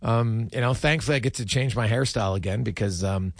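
A man hosting a casual sports talk show, speaking in a halting, repetitive way. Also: fairly low pitch, creaky, no echo, clean studio sound.

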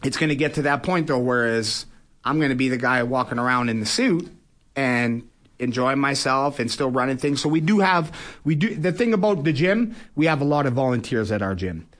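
A man's voice: mid-range voice, expressive, normal speed, a bit bright. Casual man in his forties. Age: early forties. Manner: colloquial, frequent "you know". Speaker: boxer and fight promoter, interview guest. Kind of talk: casual radio interview.

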